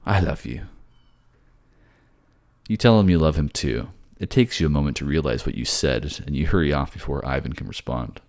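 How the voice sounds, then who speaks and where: A man's voice: extremely low pitch, animated, brisk, hardly any pauses, darkish tone. A man narrating a scripted fantasy audio drama in the second person. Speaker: narrator and voice actor.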